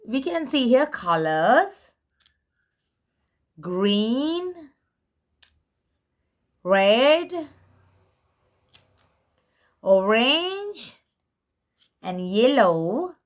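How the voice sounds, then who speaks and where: Very slow, high-pitched woman reading to toddlers. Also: very animated. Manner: simple, deliberate word-by-word delivery. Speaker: presenter showing and reading children's books. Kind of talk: slow read-aloud for toddlers.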